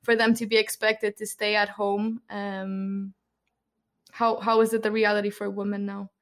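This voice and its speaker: A woman hosting an interview, earnest and soft-spoken, with hesitant ums. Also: mid-range voice, level tone, normal speed. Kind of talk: interview host.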